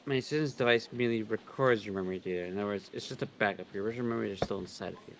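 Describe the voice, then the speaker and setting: A man with a fairly low voice reads a visual novel on stream. He talks quickly and adds casual commentary as he goes. There is faint noise behind him. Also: vocal fry. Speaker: co-reader on a game livestream.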